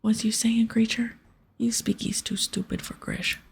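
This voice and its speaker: A woman voicing a blunt, dim ogre from a fantasy game who speaks broken English, in a hushed, breathy ASMR delivery. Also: fairly high pitch, level tone, a little slow, voice pushed up, exaggerated voice. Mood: blunt, impatient. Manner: blunt, simple-minded. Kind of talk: game dialogue read aloud in ASMR.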